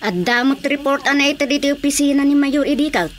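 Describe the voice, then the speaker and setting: A woman's voice: sits high, flat delivery, quick pace, a little hiss or hum, lightly acted. A woman with an Ilocano accent playing a concerned villager in conversational radio drama.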